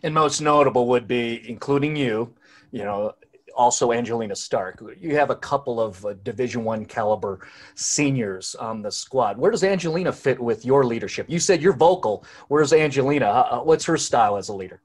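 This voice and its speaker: A man interviewing a high school athlete, asking measured follow-up questions. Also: expressive. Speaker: sports interviewer.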